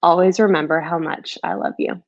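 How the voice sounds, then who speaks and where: A woman's voice: fairly low pitch, fairly even intonation, a little fast, vocal fry, highs cut off, background noise. A woman who is a children's book author, speaking in a storybook read-aloud or chatty setting. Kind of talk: storybook read-aloud, rhyming, then casual chat.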